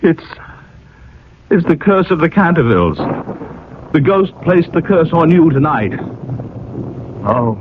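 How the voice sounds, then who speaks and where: An actor playing an earnest, protective young sweetheart in a 1980s radio drama, urgent and melodramatic. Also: male voice, on the high side, very animated, measured pace, long pauses. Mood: despairing. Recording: tinny, hissy old radio recording.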